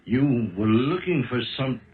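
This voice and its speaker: An actor playing a contemptuous, threatening killer in a 1970s radio drama, curt and commanding. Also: male voice, medium pitch, animated, measured pace, close to an everyday voice. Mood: suspicious, demanding. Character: menacing, contemptuous killer cornering a woman. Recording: muffled, phone-like old radio recording.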